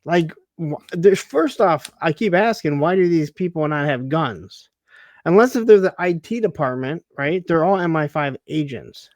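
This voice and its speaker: A man's voice: on the high side, lively intonation, normal speed, no room sound. A man co-hosting a TV recap in casual chat, picking at the story with rhetorical questions. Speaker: co-host of a TV recap show.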